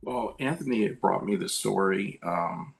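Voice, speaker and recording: A middle-aged man with a heavily creaky, husky voice speaks as a guest writer. He is candid and conversational, earnest and reflective, and pitched on the low side. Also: expressive, unhurried. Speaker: screenwriter, panel guest.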